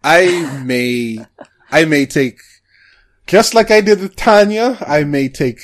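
A man hosting a podcast, chatting casually about shows in a halting, hesitant way. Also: a little high. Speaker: podcast host.

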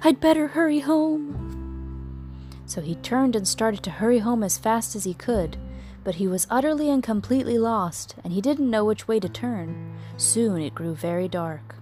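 A woman reads a children's story with expressive, dramatic delivery. Her pitch sits in a middle range, and music plays faintly behind her. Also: normal speed. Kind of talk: scripted children's story narration.

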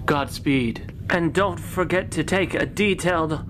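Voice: medium pitch, a little slow, over music, close to an everyday voice. A performer voicing a melodramatic, self-important space captain in an improvised radio parody, overwrought and repetitive. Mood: pleading, pompous.

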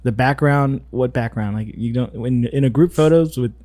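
A man's voice: mid-range voice, moderate pace, a little mellow, no echo. A man hosting a casual chat as a wedding photographer, loose and chatty, laughing often. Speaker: podcast host, wedding photographer.

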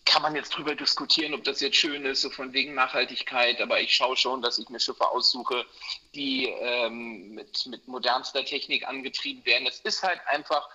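A man in his forties from Berlin, a mortgage broker talking in a relaxed interview, chatty with many fillers and restarts. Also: mid-range voice, moderate pace, husky. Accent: Berlin German. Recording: muffled, lo-fi sound.

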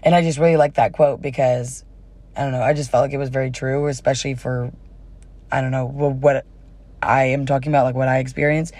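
A woman's voice: rumbling low, fairly even intonation, fairly quick, mellow, dry recording. A young adult woman hosting a casual solo podcast in an informal, chatty way, her voice slightly worn as if she is starting to lose it. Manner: informal, giggly, uses y'all. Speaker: podcast host.

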